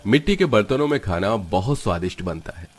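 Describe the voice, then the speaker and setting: Casting: male narrator with a deep voice for scripted children's cartoon tales. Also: lively intonation, brisk, breathy edge.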